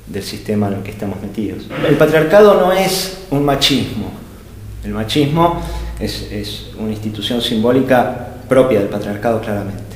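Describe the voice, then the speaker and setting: A man's voice: fairly low pitch, a little mellow. Hesitant man in an echoey hall. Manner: hesitant, searching for words with many fillers and restarts. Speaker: speaker at an art-gallery think tank. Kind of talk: unscripted philosophical talk. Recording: echoey hall with long reverb.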